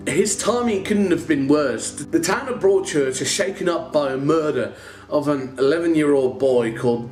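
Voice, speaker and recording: Wry Dutch man in echoey room. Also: on the high side. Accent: light English. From Netherlands. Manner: rambling, wry, swears casually. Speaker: vlogger reviewing a TV series. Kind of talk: casual chatty review. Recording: echoey room with faint guitar music.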